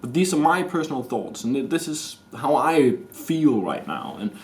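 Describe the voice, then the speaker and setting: A man's voice: medium pitch, sing-song, a little mellow. A man of thirty with a Danish accent, talking to his camera in a hesitant, rambling personal confession full of restarts. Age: 30s. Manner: hesitant, halting, many restarts and fillers. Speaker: vlogger. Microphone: camera mic.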